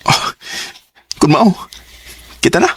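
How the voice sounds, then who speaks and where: A man's voice: slow pace, a bit bright. An actor playing an earnest young man courting his sweetheart, speaking tenderly and pleadingly in a radio drama. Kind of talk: Cebuano radio drama acting. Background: background music.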